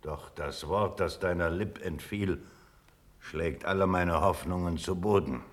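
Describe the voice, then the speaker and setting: An actor playing a loyal old cavalry colonel in a classical radio drama, earnest and formal, deferential yet stubborn. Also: male voice, sits low, a little slow. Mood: solemn. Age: older. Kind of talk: classical German stage verse radio drama.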